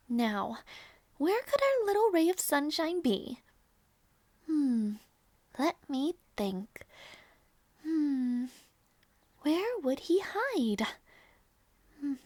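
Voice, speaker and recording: A woman playing a gentle, doting princess aunt in an audio roleplay, with a sweet, coaxing, sighing manner. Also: sits high, dry recording, full character voice. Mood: musing. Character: gentle doting princess aunt minding her little nephew.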